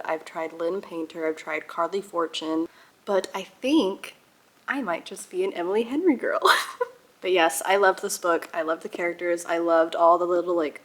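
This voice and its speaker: A young woman chatting casually to viewers as a book vlogger, with a gushing, giggly and emphatic manner. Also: on the low side. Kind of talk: casual reading vlog chat.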